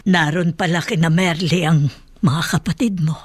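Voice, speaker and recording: An actress playing a concerned, earnest middle-aged female relative in a radio drama, with a husky voice. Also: sits low, fairly even intonation, moderate pace, over music, lightly acted. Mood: concerned, disapproving.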